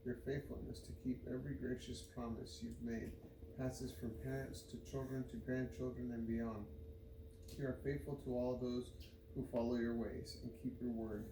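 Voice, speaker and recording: A man reads a devotional passage aloud in a measured, deliberate way. His pitch stays very even, and the recording is echoey with clear background noise. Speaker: scripture reader. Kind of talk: devotional Bible reading aloud. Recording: roomy echo, loud background noise.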